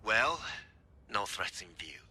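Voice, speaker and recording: An actor playing a calm former gangster on lookout duty in a video game, terse and measured. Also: male voice, lowish voice, a little hiss or hum, heavily acted. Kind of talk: video game cutscene acting.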